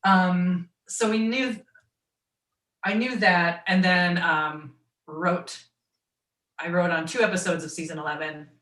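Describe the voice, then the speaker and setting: A woman with a naturally low voice and a Midwestern accent, telling stories as a guest on a video call. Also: fairly even intonation, slow pace, long pauses, a bit bright, reverberant, recorded from a distance. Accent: American Midwestern. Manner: chatty storytelling, quoting others in asides. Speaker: guest voice actor and writer. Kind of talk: online fan Q and A.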